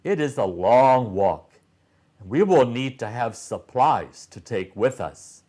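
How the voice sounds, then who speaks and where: A man voicing a calm, helpful male guide in a children's storybook, patiently explaining things. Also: medium pitch, slow. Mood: friendly, explaining.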